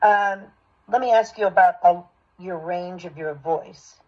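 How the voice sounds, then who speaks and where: A woman voice acting coach with a husky voice, giving encouraging, explanatory direction and feedback. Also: on the low side, measured pace. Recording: dull, lo-fi sound.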